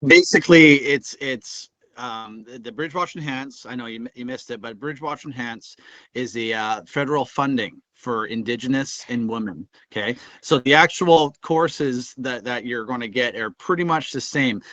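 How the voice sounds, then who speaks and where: A man's voice: medium pitch, normal speed, bright, forceful tone, no room sound. A man representing a program, giving an informal explanation in an online meeting. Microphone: online meeting call. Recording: dull lo-fi online call audio.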